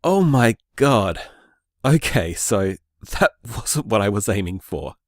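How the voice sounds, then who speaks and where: Actor doing earnest young guy figuring out magic, lowish voice, swings up and down, dry sound. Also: slow pace, no echo. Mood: musing. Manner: thoughtful, musing, lively delivery. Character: earnest young man discovering luck magic. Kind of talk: audio drama, voice-message monologue.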